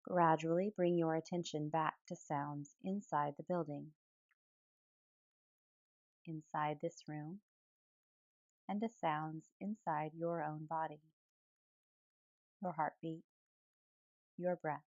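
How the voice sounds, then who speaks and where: A woman guiding a yoga nidra meditation in calm, gentle phrases with pauses between them. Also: deep voice, no echo, muffled recording. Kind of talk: guided relaxation meditation, soothing instruction.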